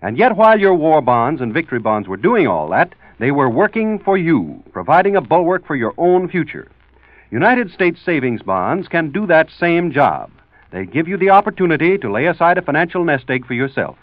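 A man's voice: fairly high pitch, sing-song, moderate pace, dry recording. A male radio announcer reading a scripted public-service appeal in 1940s style. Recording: muffled dry vintage radio recording.

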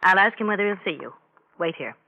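An actress playing a protective, suspicious wife in a radio crime drama, curt and imperious. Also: female voice, medium pitch, expressive, fast, a little hiss or hum, lightly acted. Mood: icy, guarded. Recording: muffled old radio broadcast recording.

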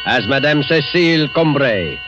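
A man introduces a 1940s radio thriller in a formal, suspenseful way. His medium-pitched voice sits over clear music on a muffled old broadcast. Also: animated, moderate pace. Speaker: radio show announcer.

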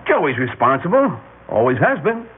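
Gangster's money-counting sidekick, fairly high male voice, matter-of-fact, vintage radio drama, tinny sound. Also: very animated, moderate pace, husky, full character voice. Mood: cool. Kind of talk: classic radio drama acting. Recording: tinny old radio broadcast, faint noise.

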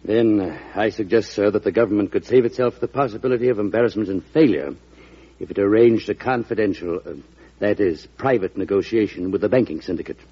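An actor playing a wealthy, shrewd and haughty banker in an old-time radio drama, measured and pompous. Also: male voice, fairly low pitch, slightly rough. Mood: smooth, skeptical. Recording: muffled old radio recording.

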